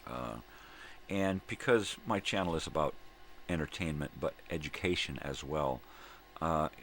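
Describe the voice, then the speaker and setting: Very deep, gravelly-voiced man. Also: measured pace, fairly bright tone. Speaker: host of a daily vlog. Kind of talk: rambling casual morning chat. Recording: clear background room noise.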